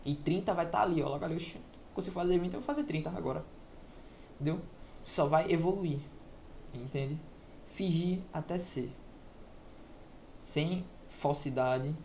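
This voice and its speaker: A man's voice: a little high, a little mellow. A man hosting a self-help monologue. He talks casually and preachily and keeps tossing in rhetorical questions. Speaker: self-help channel host. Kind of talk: motivational monologue to camera. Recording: faint background noise, lo-fi app.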